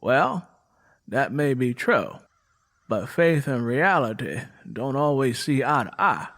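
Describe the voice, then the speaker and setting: A man's voice: medium pitch, very animated, slow, fairly bright tone, full character voice. Man voicing a folksy, bitter Southern woman. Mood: firm, skeptical. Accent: American South. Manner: folksy, emphatic storytelling.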